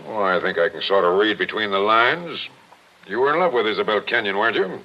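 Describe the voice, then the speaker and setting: An actor playing a shrewd, plain-spoken captain with a blunt, knowing manner in 1940s radio drama style. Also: male voice, on the low side, fairly quick, close to an everyday voice. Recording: dull vintage 1940s radio recording.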